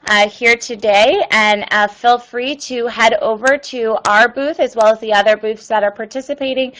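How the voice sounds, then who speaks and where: A woman's voice: fairly high pitch, fairly even intonation, normal speed, a bit bright, low-quality recording. A woman presenting an online webinar and answering viewer questions, with many ums and uhs. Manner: reads viewer questions aloud.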